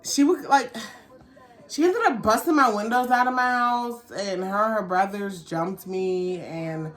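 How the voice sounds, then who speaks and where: A woman with a mid-pitched voice tells a personal story as a vlogger. She talks casually and candidly, in loose slang. She slips into animated re-enactments of what people said. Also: unhurried, faint music behind.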